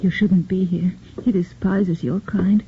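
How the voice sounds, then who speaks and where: An actress playing a grieving young widow, quiet and firm, in 1940s radio acting. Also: female voice, fairly low pitch, steady tone, measured pace, background noise. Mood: bitter. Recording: tinny old radio recording.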